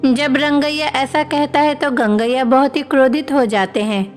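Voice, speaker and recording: A woman's voice: high-pitched, fairly even intonation, moderate pace, in a large hall, soft background music. A woman reading scripted narration for a moral bedtime story.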